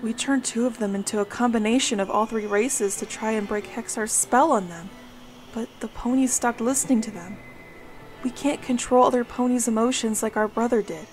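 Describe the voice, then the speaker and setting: A woman voicing a calm, wise female pony goddess in a fan audio drama, speaking reassuringly. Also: fairly high pitch, moderate pace, natural voice. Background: soft music.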